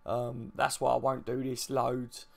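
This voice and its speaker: A man with a deep voice hosting a casual live stream from home, speaking quietly and in a laid-back way with the mic right in front of his mouth. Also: completely flat delivery, unhurried, creaky. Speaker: channel host. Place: at home, baby asleep next door.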